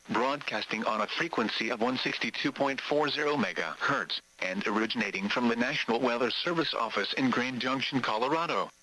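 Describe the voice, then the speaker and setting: A man announces station identifications in a measured, impersonal voice that sounds automated, at an average pitch. The sound is muffled, like a weather radio capture, with clear noise over it. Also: sharp tone.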